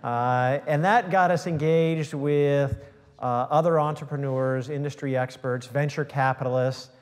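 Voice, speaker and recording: A man's voice: mid-range voice, steady tone, unhurried, big-room sound. A middle-aged man speaking on a stage panel, explaining steadily with frequent "you know" fillers. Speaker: tech company CEO and professor on panel. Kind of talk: panel discussion. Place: large auditorium stage, seated. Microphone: lapel mic.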